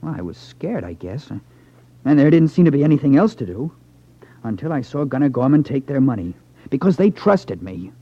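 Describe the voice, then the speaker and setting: An actor playing a nervous, sheepish young playboy in a 1950s radio crime drama, earnest and defensive in manner. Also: male voice, mid-range voice, big pitch swings, normal speed, husky. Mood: sheepish, confessing. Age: young adult. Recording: dull lo-fi 1950s radio recording.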